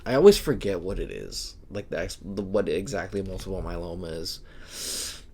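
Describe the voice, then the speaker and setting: A young man, a podcast co-host, talking in a slow, halting and reflective way. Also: low-pitched, big pitch swings, darkish tone, breathy edge. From Hawaii. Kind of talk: casual podcast chat.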